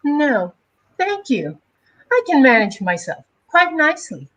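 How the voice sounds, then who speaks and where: A woman's voice: high-pitched, big pitch swings, a little slow, a bit bright, exaggerated voice. A woman playing a cool, aloof townswoman with clipped, evasive politeness in a dramatic comic-book reading. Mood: coolly evasive.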